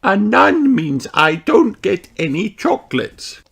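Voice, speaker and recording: A storybook reader as a high-voiced granny pig. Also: big pitch swings, slow pace, creaky, fairly bright tone, breathy edge, full character voice. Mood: patient, explaining. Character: kindly elderly granny pig explaining patiently.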